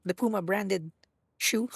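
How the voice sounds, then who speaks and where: Husky woman, live-stream host, playful and rambling, dry close sound. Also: medium pitch, slow, no room sound. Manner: rambling, self-correcting, playful. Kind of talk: casual live-stream chat while gaming.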